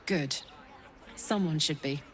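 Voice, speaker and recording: A woman's voice: low-pitched, slow, a faint crowd, a little hiss or hum. A woman playing a tough, blunt warrior who hides her frustration, in a video game. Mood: frustrated, blunt. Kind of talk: video game dramatic acting.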